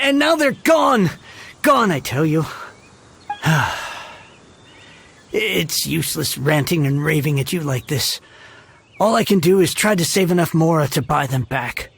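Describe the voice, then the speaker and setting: An actor playing a grouchy, dramatic older book collector in a video game, husky and theatrical. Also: male voice, high-pitched, lively intonation, a little slow, a bit bright, heavily acted. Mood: exasperated ranting. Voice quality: husky, creaky. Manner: theatrical, blustering, exasperated. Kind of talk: English video game voice acting.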